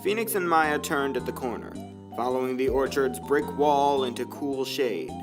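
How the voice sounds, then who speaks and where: A man's voice: mid-range voice, moderate pace. A man reading scripted story narration for an audio drama. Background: faint music.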